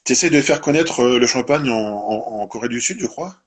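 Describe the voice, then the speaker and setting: Male live-stream host, husky, rambling and informal. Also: medium pitch, fairly quick. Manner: meandering, tags sentences with "hein". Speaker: host of a live winery presentation.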